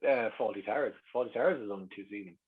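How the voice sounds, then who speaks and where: A man's voice: fairly low pitch, rapid-fire, a crowd in the background. An Irish man telling anecdotes in casual chat as a guest, with hesitant starts and repeated words. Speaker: interview guest. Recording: muffled, lo-fi voice track.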